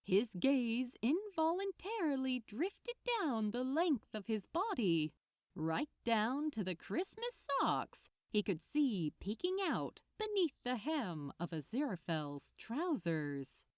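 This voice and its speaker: Slow, very expressive woman storyteller. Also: a little high, no echo, studio quality. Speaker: audiobook narrator. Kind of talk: expressive scripted fiction narration.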